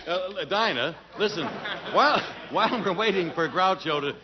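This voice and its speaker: A man announcing in booming, jovial 1940s radio style. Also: expressive, moderate pace, background noise. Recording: muffled 1940s radio broadcast.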